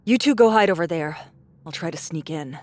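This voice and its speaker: A woman's voice: low-pitched, expressive, fairly quick, darkish tone. A woman playing a tough, matter-of-fact sci-fi fighter, cool and dry, in an audiobook drama. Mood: guarded.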